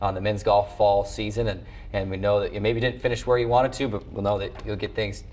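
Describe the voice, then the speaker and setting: A man's voice: on the low side, little pitch movement, brisk, darkish tone. A man hosting a sports talk show in a chatty, conversational and wordy way. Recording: faint background noise.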